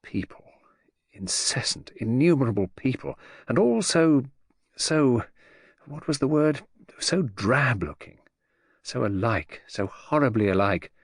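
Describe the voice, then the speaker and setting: A man's voice: mid-range voice, lively intonation, unhurried, dry recording, studio quality, voice pushed up. A British man voicing a restless, disgusted forty-year-old man in an audiobook, emphatic and exclamatory. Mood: contemptuous. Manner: emphatic, exclamatory, searching for words.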